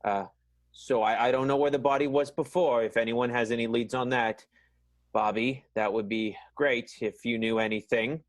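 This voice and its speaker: A man's voice: mid-range voice, steady tone, normal speed, no echo, close to an everyday voice. A man playing an awkward, earnest, lovelorn boss in an improvised radio play, with hesitant, stammering delivery. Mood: nervous, mournful. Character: awkward, nervous, lovelorn male office boss.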